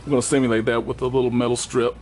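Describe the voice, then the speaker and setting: A man presenting an unscripted hands-on equipment walkthrough in a plainspoken, halting way, correcting himself as he goes. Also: mid-range voice, little pitch movement, darkish tone.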